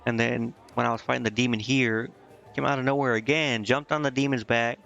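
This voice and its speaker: Smooth-voiced man casually streaming a game. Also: mid-range voice, fairly even intonation, a little fast, fairly bright tone, highs cut off, soft background music. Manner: slangy, irreverent. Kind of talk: casual off-the-cuff gameplay commentary.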